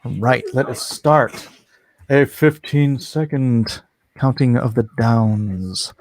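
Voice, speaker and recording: A man's voice: medium pitch, fairly even intonation, slow, rough recording. A man hosting a casual live online art auction, chatting and reading out comments in a playful, hammy way. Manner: playful, theatrical, hammy countdowns.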